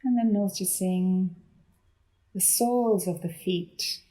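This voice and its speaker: A woman leading a guided meditation in a gentle, unhurried manner with deliberate pauses between phrases. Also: medium pitch. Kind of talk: guided body scan meditation.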